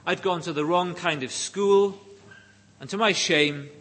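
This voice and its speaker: A middle-aged man with a Welsh accent preaching a sermon in deliberate, emphatic phrases with pauses. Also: high-pitched, a bit bright. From Wales.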